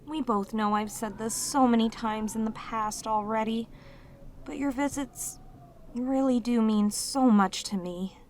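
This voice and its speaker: A woman voicing a lonely, clingy, sweet female demon in an ASMR audio roleplay, with an earnest, halting, pleading manner. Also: on the high side, a little mellow, a little hiss or hum, close to an everyday voice. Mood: anxious, wistful.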